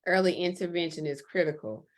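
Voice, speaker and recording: A woman's voice: fairly low pitch, expressive, fairly quick. A woman hosting a livestream interview in an upbeat, encouraging way. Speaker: host.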